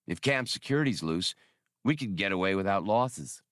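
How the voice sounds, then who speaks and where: A cocky space pilot and gunslinger, played by a male audiobook reader, blunt and sure of himself. His clipped speech swings lively in pitch, recorded dry and close. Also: moderate pace, no room sound. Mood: cool, blunt. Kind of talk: audiobook character voice.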